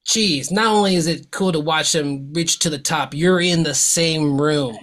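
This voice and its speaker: Excited slangy male podcast host. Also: fairly high pitch, measured pace. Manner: enthusiastic, slangy, stammering restarts. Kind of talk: casual interview hosting.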